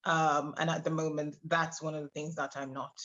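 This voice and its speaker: A woman's voice: sits low, flat delivery, fairly bright tone. Hesitant woman in her thirties, muffled audio. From Trinidad. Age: 30s. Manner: hesitant, many filler pauses, laughs between thoughts. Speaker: podcast guest. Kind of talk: personal interview storytelling.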